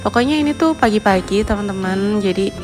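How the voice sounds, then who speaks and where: A young woman with a stuffy nose and a hoarse voice from a cold, chatting casually in a home vlog voice-over. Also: mid-range voice, animated, fairly quick. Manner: chatty, friendly, with little laughs and fillers. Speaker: vlogger, homemaker. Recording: voice-over with clear background music.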